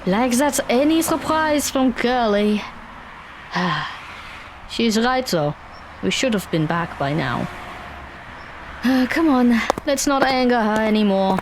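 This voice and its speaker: Lively, unhurried, wry teenage girl woman acting. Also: on the high side, background noise. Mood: resigned. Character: wry, knowledgeable, practical teenage magical girl. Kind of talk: audio drama acting.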